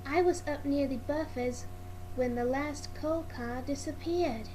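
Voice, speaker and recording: Eager little boy engine for a storybook, played by a woman: high, chirpy, proud, on a noisy home recording. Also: a little slow, a little mellow. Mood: puzzled. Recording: clearly noisy amateur recording.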